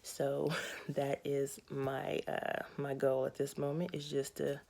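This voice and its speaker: A young adult woman with a raspy, hoarse voice, giving a candid, matter-of-fact personal health update to her viewers. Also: very deep voice, monotone, slow pace, mellow. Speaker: vlogger.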